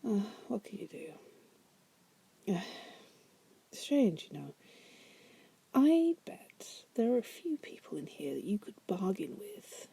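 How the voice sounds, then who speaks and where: A woman's voice: mid-range voice, big pitch swings, slow, vocal fry, pauses often, slightly rough, full character voice. A woman playing a wry, seductive demon prisoner in an ASMR roleplay, often whispering, with a teasing, musing manner. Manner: teasing, musing, drawling asides with soft chuckles. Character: wry, seductive female demon prisoner, patient deal-maker.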